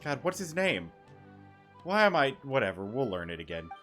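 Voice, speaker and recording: A man's voice: medium pitch, big pitch swings, moderate pace, fairly bright tone. A man giving casual let's-play commentary, blunt and sweary, with muttered asides. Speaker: gaming streamer reacting to a story quest. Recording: background game music.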